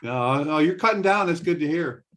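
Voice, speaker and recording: A man's voice: fairly high pitch, expressive, normal speed, a bit bright. A man hosting a casual group video call, joking around and rambling with lots of fillers. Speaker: host of a live video call.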